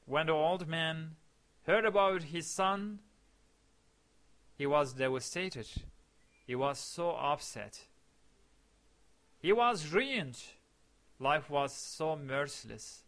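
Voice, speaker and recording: Slow, bright-voiced man. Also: a little high, animated. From eastern Turkey. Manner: slow, deliberate, repeats phrases as he searches for words. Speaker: storyteller. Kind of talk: personal anecdote told in English.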